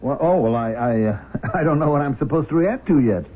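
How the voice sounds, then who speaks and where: A man's voice: on the high side, lively intonation, brisk. An actor playing an anxious, kindly middle-aged father in a 1970s radio drama, earnest and hesitant. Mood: genial. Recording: thin phone-like old radio broadcast.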